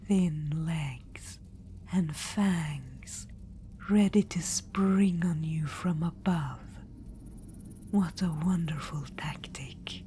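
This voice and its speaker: A woman's voice: lowish voice, slight background noise. A woman playing a whispering undead witch-queen. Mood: fascinated. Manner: soft whisper throughout, calls listener darling. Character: ancient undead female witch-queen, regal, coaxing. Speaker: ASMR performer. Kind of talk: ASMR audio roleplay.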